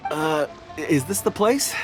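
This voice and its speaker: An actor playing an affable, eloquent young rock expert with a polite, breezy manner in an anime game. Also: male voice, fairly high pitch, lively intonation, slow. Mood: cheerful, eager. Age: young adult. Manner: polite, breezy, flowery wording. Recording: music behind the voice.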